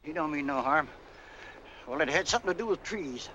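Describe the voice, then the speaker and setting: An actor playing a rough, folksy caretaker in a 1940s film, cagey and unhurried, with uneducated, ungrammatical talk. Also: male voice, on the high side, expressive, lightly acted. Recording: noisy 1940s film soundtrack.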